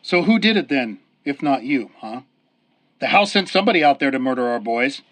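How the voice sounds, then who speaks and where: A man's voice: medium pitch, animated, fairly quick, a bit bright, lightly acted. A man playing a cynical hard-boiled cop in a 1930s-style radio drama, sarcastic, slangy and contemptuous. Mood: suspicious. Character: cynical, sneering hard-boiled male cop, quick to accuse. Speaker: police interrogator.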